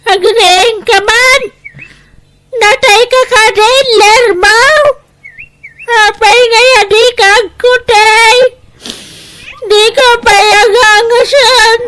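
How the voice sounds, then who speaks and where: A woman with an Ilocano accent playing a sorrowful, pleading village woman in an audio drama, speaking in a drawn-out, plaintive way. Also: very high-pitched, fairly even intonation, pushed and bright, heavily acted. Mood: tender, grieving.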